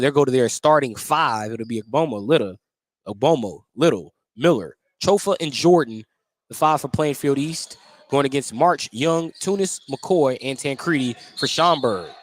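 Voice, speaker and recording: A man doing live radio play-by-play of a basketball game in clipped, descriptive running calls. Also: mid-range voice. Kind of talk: live radio sports commentary.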